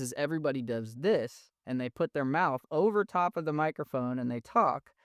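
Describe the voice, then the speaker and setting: Relaxed, slangy man teaching audio gear. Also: mid-range voice, no room sound. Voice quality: thin, mid-range voice. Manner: relaxed, slangy, enthusiastic explaining. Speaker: audio engineering instructor. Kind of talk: casual explanatory lesson. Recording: dry, close studio sound.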